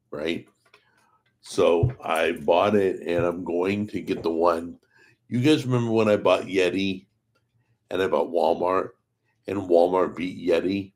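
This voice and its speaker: Hoarse low-voiced man chatting on a livestream. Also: a little mellow. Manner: rambling, chatty, greets viewers by name. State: hoarse from a paralyzed vocal cord. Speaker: host of a cooking channel livestream. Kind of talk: casual live stream chat.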